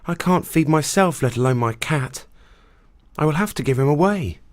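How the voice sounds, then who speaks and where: A woman's voice: low-pitched, expressive, moderate pace, a little mellow, pitched below the performer's own voice. A woman voicing a poor young man, the miller's son, who speaks in a sighing, downcast way. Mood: glum.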